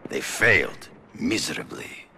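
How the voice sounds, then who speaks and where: Proud theatrical hunter for a video game: heavy gravel, hugely animated intonation, formal and grand. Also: male voice, very deep voice, slight background noise, heavily acted. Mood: stern, resolute. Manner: grandiose, deliberate, formal phrasing. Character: theatrical proud big-game hunter turned vigilante, grown man. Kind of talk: video game cutscene acting.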